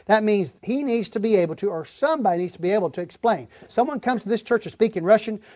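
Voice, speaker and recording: A man's voice: high-pitched, a little mellow, no room sound, studio quality. A man preaching a verse-by-verse Bible lesson in an emphatic, folksy, plain-spoken way. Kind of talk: Bible-teaching sermon, verse-by-verse reading and explanation.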